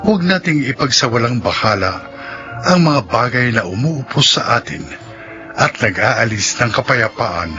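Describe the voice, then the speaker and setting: A male narrator reads a scripted message in a solemn, measured way. His pitch is average. The radio sound is slightly muffled, and music plays clearly behind him. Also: animated, pushed and bright. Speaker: narrator delivering the closing message.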